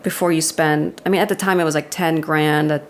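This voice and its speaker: A woman's voice: low-pitched, steady tone, slight background noise. A woman in her thirties with an Austrian accent, speaking candidly as a guest in a casual interview and laughing easily. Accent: Austrian German. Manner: candid, laughs often, quick self-deprecating asides. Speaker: guest, commercial director.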